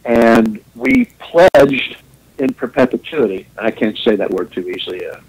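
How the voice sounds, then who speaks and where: A man's voice: medium pitch, huge pitch swings, unhurried, creaky, breathy edge, poor audio. A man who grew up in Japan, hosting a radio talk show, rambling through an opinionated monologue with wry chuckles.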